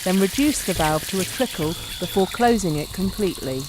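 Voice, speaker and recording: A woman reading a scripted technical training narration in a measured, step-by-step instructional way. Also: on the low side, lively intonation, background noise.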